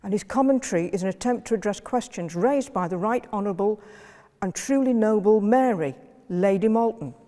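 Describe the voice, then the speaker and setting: A woman historian giving a public lecture in a measured, list-like way with occasional fillers. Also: medium pitch, dark tone, big-room sound.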